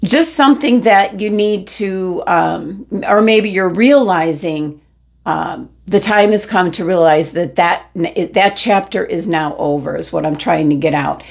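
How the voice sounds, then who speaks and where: Woman doing a tarot reading, voice going a bit hoarse, slow and rambly with lots of ums. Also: lowish voice. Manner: gentle, meandering, many ums. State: losing her voice. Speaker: tarot reader. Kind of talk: weekly horoscope tarot reading.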